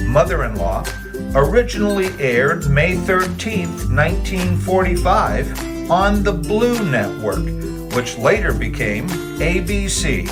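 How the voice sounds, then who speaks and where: A man giving a scripted, formal introduction as announcer for a theater show. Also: fairly high pitch.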